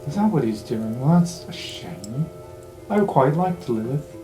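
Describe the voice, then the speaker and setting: A man playing an ancient, evasive professor in a tabletop game, musing in a measured way and trailing off. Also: medium pitch, very animated. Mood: speculative, dry. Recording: roomy sound under music.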